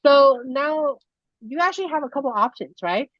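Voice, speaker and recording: A woman's voice: high-pitched, expressive, moderate pace, no room sound. A young woman from Taiwan whose first language is Mandarin, teaching in a casual, chatty way with lots of fillers and restarts. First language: Mandarin Chinese. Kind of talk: casual conversational lesson. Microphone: video call. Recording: dull lo-fi video call audio.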